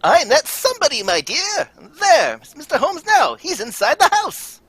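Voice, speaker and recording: A woman playing a loyal, fretful gentleman sidekick in a radio drama, earnest and excitable, with a husky voice. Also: sits high, moderate pace, no echo, full character voice. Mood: eager, conspiratorial. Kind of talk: old-time radio drama script read live.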